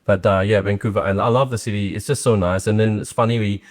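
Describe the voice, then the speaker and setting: A man's voice: on the low side, fairly even intonation, quick pace. A man in his forties from Australia hosting a podcast, chatting casually with lots of fillers and tag questions. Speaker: podcast host. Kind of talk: casual interview chat.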